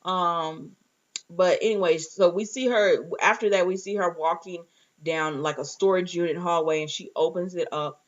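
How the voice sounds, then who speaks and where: A woman's voice: on the low side, steady tone, normal speed, muffled recording. A woman giving a casual, chatty recap of a TV show in an informal way, retelling dialogue and adding her own reactions. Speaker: reviewer and host of a TV recap show. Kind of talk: casual recap chat with acted-out asides.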